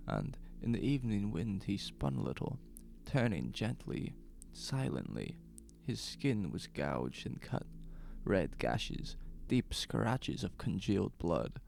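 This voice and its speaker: Slow, gravelly male horror narrator. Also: fairly low pitch, a little mellow, slight background noise. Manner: measured, suspenseful. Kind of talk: scripted horror story narration.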